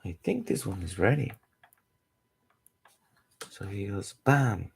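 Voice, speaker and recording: A man in his forties from Costa Rica, a native Spanish speaker with a Costa Rican accent and a gravelly, husky voice, chatting casually and searching for words as he draws. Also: on the low side, lively intonation, slow, slight background noise. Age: 40s. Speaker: comic artist streaming his drawing. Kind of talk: casual chat while drawing.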